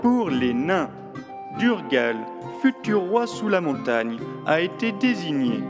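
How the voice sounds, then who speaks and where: A ceremonious herald acted by a man presides over a fantasy council in an audio drama. He proclaims slowly in a fairly high voice that swings expressively. Clear music plays underneath. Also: sing-song, exaggerated voice. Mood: stern, official.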